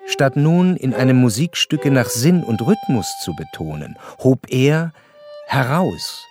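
An actor playing an educated, observant narrator in a literary radio play, speaking in a measured, precise and wry way. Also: male voice, medium pitch, big pitch swings. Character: educated, curious male narrator, observant and wryly detached. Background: violin music.

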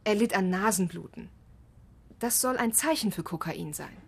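An actress playing a chatty young understudy actress in a German radio drama, gushing and a bit nervous. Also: female voice, medium pitch, animated, moderate pace, gravelly, slightly rough. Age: young adult.

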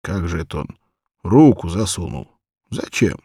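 A man's voice: sits low, sing-song, unhurried, dark tone, slightly rough, no room sound, clean studio sound, heavily acted. A man playing a swooping, tipsy old cobbler. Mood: alarmed. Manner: cooing baby talk, exclamatory, swooping. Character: tipsy old village cobbler, gruff but tenderly fond.

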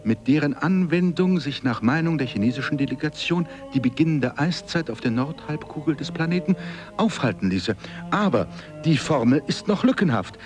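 An actor playing a composed, matter-of-fact congress reporter, giving formal commentary in a radio drama. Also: male voice, a little high.

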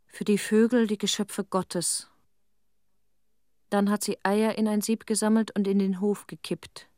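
A woman's voice: mid-range voice, a little mellow, no room sound, natural voice. Wistful young woman survivor played by an actress, dry sound. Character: young female Chernobyl survivor, wistful, recalling grandmother. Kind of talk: radio drama monologue.

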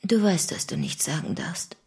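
An actress playing a conspiratorial mother in a radio play, coaxing and controlling in a breathy, hushed, creaky voice. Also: lowish voice, huge pitch swings, normal speed, whispered, full character voice.

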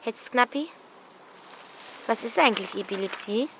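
Bold, bossy girl of thirteen, high voice, pushy street-kid delivery, radio drama, noisy recording. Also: animated, normal speed. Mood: cocky, excited. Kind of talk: audio drama acting.

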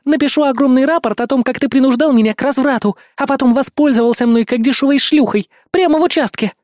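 A narrator acts out a young woman officer in an audiobook, high-voiced and sharp-tongued. She snarls and snaps with scornful indignation. The sound is close and dry. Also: quick pace, dry recording, lightly acted. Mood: threatening.

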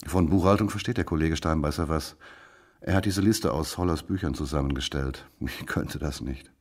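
Gruff male detective, very low husky voice, brusque, laconic, radio crime drama, faint music behind. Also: moderate pace. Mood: matter-of-fact.

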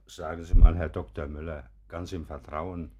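An actor playing a courteous, wry professor in a radio drama, chatty and gently teasing as he shows a visitor round. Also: low-pitched, little pitch movement, normal speed, slightly rough. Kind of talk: German radio drama acting.

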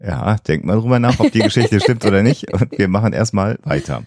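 A man hosting a podcast in a casual, measured way with some hesitant phrasing. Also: fairly low pitch, lively intonation, loud music behind the voice. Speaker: podcast host; psychologist. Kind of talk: casual scripted-intro podcast talk.

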